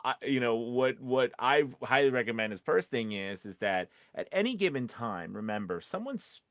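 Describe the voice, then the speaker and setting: A man co-hosting a podcast, giving casual advice in a halting, rambling way, often restarting his sentences. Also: medium pitch, animated, fairly bright tone, no room sound, studio quality. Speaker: podcast co-host and voice actor.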